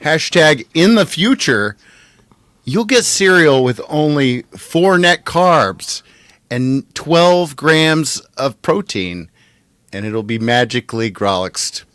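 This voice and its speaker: A man's voice: a little high, lively intonation, slow pace, a bit bright, no room sound. A man co-hosting a podcast with casual, playful banter and a mock-dramatic streak. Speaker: podcast co-host.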